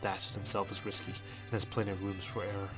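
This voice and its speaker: A man reading visual novel text aloud as a let's player, in a measured, understated storytelling manner with brief asides. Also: on the low side, flat delivery. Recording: music behind the voice. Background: game music.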